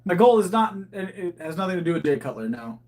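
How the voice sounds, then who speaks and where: Man, 30s, casual livestream host, slightly higher voice, chatty and meandering. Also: big pitch swings, quick pace, a little mellow. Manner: rambling, with frequent restarts and thinking aloud. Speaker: livestreaming powerlifter. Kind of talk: casual livestream chat reading viewer comments.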